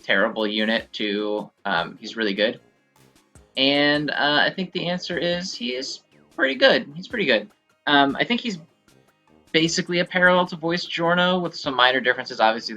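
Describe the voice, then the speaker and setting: A man giving casual gameplay commentary, thinking aloud in a halting, self-correcting way as he explains things. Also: medium pitch, pushed and bright. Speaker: gaming video host. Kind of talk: casual rambling game commentary.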